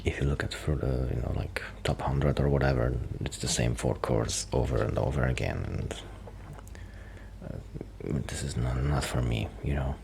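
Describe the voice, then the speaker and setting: Guy acting as shy musician buddy, super low creaky voice, soft asmr rambling, bit of background noise. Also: fairly even intonation, moderate pace. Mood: modest, resigned. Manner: hesitant, rambling, self-interrupting. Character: shy, quiet young male musician friend who rambles about music. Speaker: ASMR roleplay performer. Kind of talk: soft-spoken ASMR roleplay with whispering.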